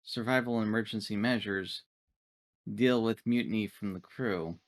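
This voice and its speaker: A man's voice: on the low side, a little slow, no room sound, lightly acted. A man playing a formal, literal-minded android crew member in a tabletop game, speaking in precise, clinical terms. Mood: clinical, explanatory.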